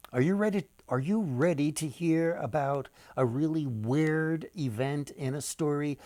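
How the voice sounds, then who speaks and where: An older man, an author reading folktales aloud to children in a deliberate, theatrical manner with dramatic pauses. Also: a little high, a little mellow, dry recording. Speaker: author and storyteller. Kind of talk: storytelling read-aloud for children.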